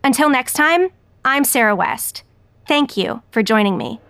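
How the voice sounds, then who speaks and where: Actress as combative female online pundit, insistent and rhetorical, higher pitch, dry close recording. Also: expressive, measured pace, fairly bright tone, clean tone, no room sound, faint music behind, exaggerated voice. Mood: rallying. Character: righteous, combative woman online political commentator. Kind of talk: scripted audio drama acting as a video presenter.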